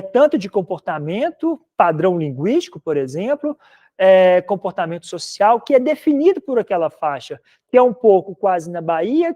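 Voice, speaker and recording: A man's voice: sits high, dark tone. A man from Minas Gerais with a mineiro accent, lecturing a philosophy class in a loose, conversational way full of tag questions. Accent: Minas Gerais Portuguese. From Minas Gerais, Brazil. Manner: rambling, conversational, frequent "né?" tags. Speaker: philosophy teacher. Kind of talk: recorded online class lecture.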